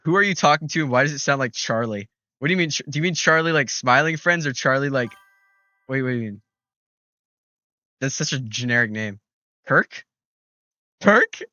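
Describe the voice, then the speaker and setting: A man's voice: mid-range voice, lively intonation, normal speed, long pauses, sharp tone, dry recording, dull audio. A young man streaming games, chatting casually, rambling, with frequent swearing and restarts. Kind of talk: casual gaming stream chat.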